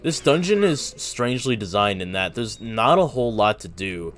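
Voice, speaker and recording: A young man casually chatting while streaming a video game, relaxed and easygoing. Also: fairly low pitch, moderate pace. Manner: relaxed, talks while playing, fillers and restarts. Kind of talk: casual gameplay chat.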